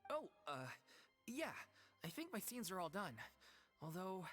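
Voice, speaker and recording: A woman's voice: medium pitch, big pitch swings, measured pace, faint music behind. A woman playing a stage troupe actor in a video game. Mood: hesitant.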